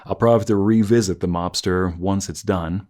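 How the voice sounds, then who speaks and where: Man, deep low pitch, conversational reviewer, thoughtful, halting delivery, rewording mid-thought. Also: steady tone, warm tone. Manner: reflective, halting, rephrasing as he goes. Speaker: host of story review channel, narrator.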